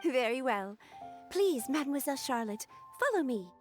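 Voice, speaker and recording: A poised young secretary speaks in a high, clear-cut formal manner. She is courteous and measured, in video game cutscene style, with faint music underneath. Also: big pitch swings. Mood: formal, composed.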